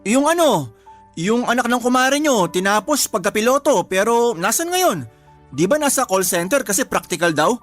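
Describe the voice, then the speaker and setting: A man's voice: high voice, big pitch swings, moderate pace, a bit bright, faint music behind. A man playing a sullen, stubborn twenty-year-old son who argues back in a radio drama. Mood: argumentative. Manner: whiny, argumentative.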